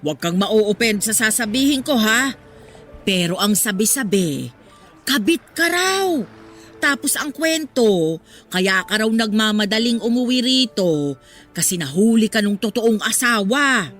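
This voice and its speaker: A woman's voice: fairly high pitch, animated, a little slow, fairly bright tone, faint music behind. A woman playing a nosy, judgmental older village neighbour in a radio drama, prying and sanctimonious while feigning concern. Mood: gossipy, sly.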